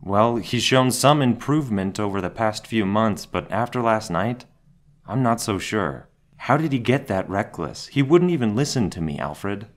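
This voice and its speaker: An actor playing a stern, brooding hero and mentor in an audio drama, serious and restrained. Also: male voice, lowish voice, lively intonation, moderate pace, lightly acted. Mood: serious, concerned.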